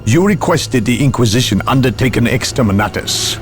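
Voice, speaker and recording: An actor playing a cold, imperious inquisitor in a video game, with formal, deliberate, condescending speech and a gravelly voice. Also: male voice, medium pitch, expressive, faint music behind, natural voice. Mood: probing. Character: imperious, cautious inquisitor, a cold and cutting man. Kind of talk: video game cutscene acting.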